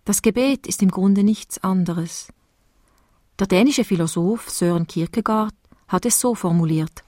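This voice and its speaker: A woman from Basel with a Swiss German accent, calmly reading a meditative sermon. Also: medium pitch, no room sound, studio quality. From Switzerland, Basel. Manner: calm, measured, reflective reading. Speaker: preacher giving a spoken devotion.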